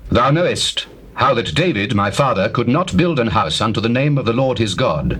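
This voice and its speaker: A man's voice: mid-range voice, very animated, normal speed, close to an everyday voice. An actor playing a devout, stately king in dramatized scripture, speaking formally in archaic English. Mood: solemn, resolved. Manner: formal, declamatory. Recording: faintly noisy old tape recording.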